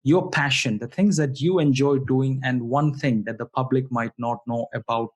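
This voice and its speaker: A man's voice: mid-range voice, fairly even intonation, moderate pace. A man hosting an interview, polite and formal, often repeating words of thanks and praise before each question. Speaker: interview host. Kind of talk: podcast interview.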